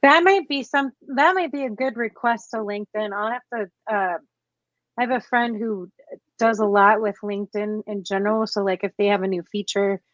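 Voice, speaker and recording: A woman's voice: mid-range voice, normal speed, a bit bright, no room sound, dull audio. A woman interviewing a guest in casual conversation, chatty and full of restarts and fillers.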